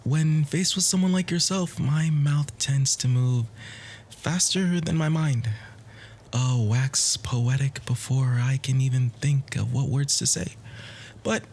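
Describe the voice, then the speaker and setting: A man playing a charming, bookish nerd in an ASMR romance roleplay, flirting in formal, poetic phrases with a soft, breathy, often near-whispered voice. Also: mid-range voice, fairly even intonation, takes it slowly. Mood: earnest, romantic. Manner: formal, wordy, gently hesitant flirting.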